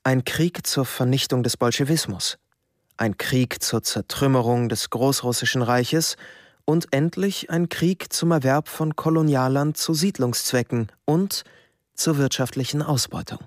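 A man voicing a bureaucratic Nazi ministry official in a radio drama, reading in a flat, matter-of-fact way. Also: mid-range voice, measured pace, dry recording, clean studio sound, lightly acted.

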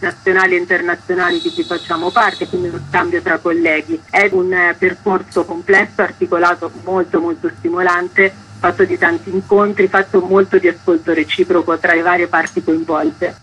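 A woman's voice: lowish voice, little pitch movement, moderate pace, hardly any pauses, fairly bright tone. A woman answering interview questions as a guest, reflective and explanatory. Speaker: festival co-artistic director, guest. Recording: dull lo-fi line, faint noise.

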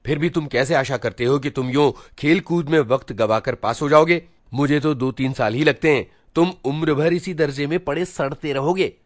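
A man's voice: on the high side, normal speed, few pauses, dry recording, pitched above the performer's own voice, lightly acted. A man voicing a stern, self-important teenage elder brother in a storybook reading, preaching and scolding with rhetorical questions. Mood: angry, scolding.

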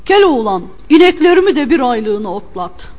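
A woman's voice: sits high, big pitch swings, normal speed, darkish tone. A woman voicing a cunning, cantankerous, stingy male landowner in a storybook, curt and bossy. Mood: commanding. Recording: long hall-like reverb.

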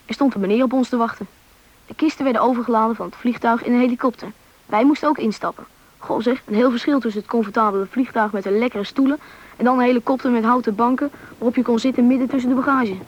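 A young performer playing a curious, eager Russian boy in a radio play, with a youthful, slightly husky voice, in a muffled old recording. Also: medium pitch, steady tone, fast, close to an everyday voice. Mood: recounting. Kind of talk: radio play narration and dialogue.

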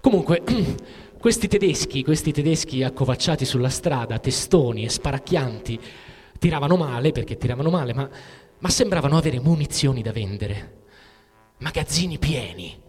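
An actor playing a frightened, cynical soldier who tells of war in a halting dramatic literary monologue, repeating words and breaking off mid-phrase. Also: male voice, mid-range voice, expressive, a little mellow, breathy edge. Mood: wry, incredulous. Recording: music under the voice. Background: music.

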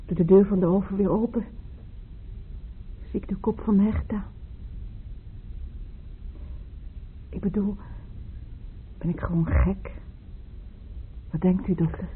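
An actress in a radio drama playing a grieving, weary psychiatric patient who speaks haltingly, fixated on her dreams. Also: mid-range voice, steady tone, lightly acted. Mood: anxious. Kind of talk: Dutch radio drama acting. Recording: tinny, band-limited, hissy radio play recording.

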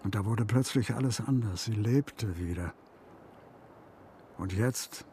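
An actor playing a troubled, grieving middle-aged father in a radio crime drama, halting and insistent as he searches for words. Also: fairly low pitch, dark tone, slight background noise.

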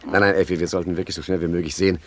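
Radio comedy casting: male actor as dry, grumbling Chicago gangster, deep-toned, ambient noise behind. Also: flat delivery, racing, natural voice. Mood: dry, pedantic. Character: roguish prosaic gangster boss of 1920s Chicago. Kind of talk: German radio comedy acting.

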